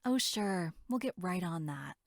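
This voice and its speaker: A woman's voice: lowish voice, expressive, a little slow, dry recording, studio quality. A woman playing a brash, sarcastic young camp counselor in a horror audio drama, snarky and blunt. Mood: sarcastic, disgusted. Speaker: voice actor.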